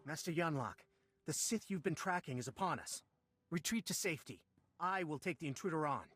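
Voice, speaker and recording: Urgent, higher-voiced Jedi fighter. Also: male voice, lively intonation, brisk, fairly bright tone. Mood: urgent, determined. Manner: urgent, dutiful. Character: eager, protective young Jedi fighter. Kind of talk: video game voice acting.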